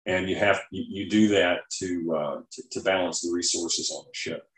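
A man with a fairly low voice talks in a roomy, echoing recording. He explains ideas informally, like an interview guest who knows science and reaches for everyday examples. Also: fairly even intonation, moderate pace, a bit bright.

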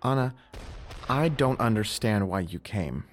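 Earnest young officer, noisy recording. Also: male voice, fairly low pitch, expressive, measured pace. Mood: hesitant, awkward. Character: Calipodius, earnest blind officer, devoted young husband. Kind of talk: audio drama acting.

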